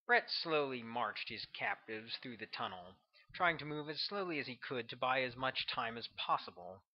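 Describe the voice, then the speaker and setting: Male author-narrator for fiction audiobook, scripted reading, mid-range pitch, slightly dull recording. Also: animated, moderate pace.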